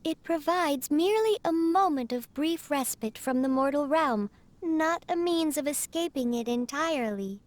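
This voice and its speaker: Video game role: a polite little teapot spirit butler, high-pitched, stately and a touch forgetful. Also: female voice, expressive, normal speed. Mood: measured, helpful. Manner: formal, stately, slightly absent-minded. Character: small courteous teapot spirit butler in bird form. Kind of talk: video game character dialogue.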